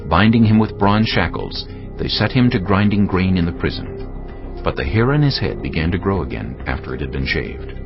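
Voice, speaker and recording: A man narrating a dramatized audio Bible in a solemn, measured storytelling manner. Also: sits low, expressive. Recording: slightly dull sound, faint music.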